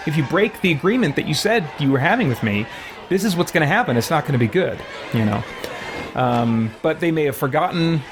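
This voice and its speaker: A male game streamer with a mid-range voice, talking things through in a halting, reflective way. He explains carefully as he goes, with a clear murmur of voices and noise behind him. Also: medium pitch. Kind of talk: reflective live stream commentary.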